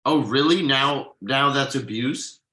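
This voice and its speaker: A man in a script table read playing a defensive, evasive husband and father, conversational and a little halting. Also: medium pitch, pushed and bright. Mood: defensive, exasperated. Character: defensive, evasive middle-class husband and father writing ad copy.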